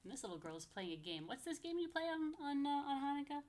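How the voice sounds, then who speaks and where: American woman with lively, animated pitch. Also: quick pace. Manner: expressive, asks listeners playful questions. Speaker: rabbi, story time host for young children. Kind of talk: children's picture book read-aloud with chatty asides.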